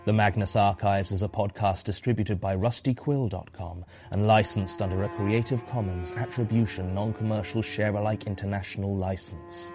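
Guy doing podcast end credits, lowish voice, music going behind him. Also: fairly even intonation, normal speed, few pauses. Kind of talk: scripted credits read.

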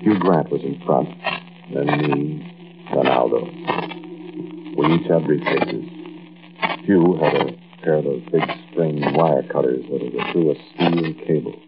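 An American man playing a frightened robber who narrates a night heist in a halting, conversational 1940s radio drama style. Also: a bass voice, exaggerated voice. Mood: brooding. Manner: conversational, brooding, halting storytelling. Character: uneasy, frightened male bank robber recounting a night heist. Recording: muffled, noisy old-time radio recording.

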